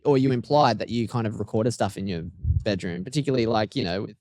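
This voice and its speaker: A man co-hosting a podcast, asking relaxed, rambling questions full of fillers. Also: on the low side, fast talker, no room sound. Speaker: podcast co-host. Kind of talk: casual interview questions.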